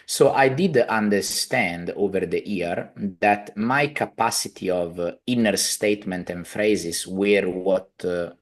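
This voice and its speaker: A man's voice: sits low, a little slow, hardly any pauses. A man in his thirties with an Italian accent, explaining his healing practice conversationally as an interview guest on a video call. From Italy. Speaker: podcast guest, healer, family constellations practitioner. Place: living room at home, indoors, video call.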